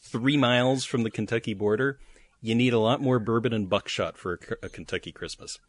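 A man's voice: mid-range voice, brisk, no echo. A man hosting a podcast, reading out trivia items with a wry, teasing delivery in playful chat. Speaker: podcast host presenting trivia.

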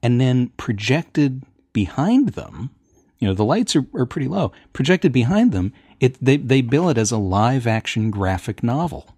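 A middle-aged man chatting casually on a panel, telling things in an enthusiastic, halting way. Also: mid-range voice, very animated, a little mellow, husky, no echo, clean studio sound.

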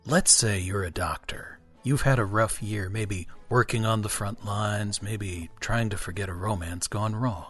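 A man reading a parody advertisement voiceover in a smooth, sultry pitch.

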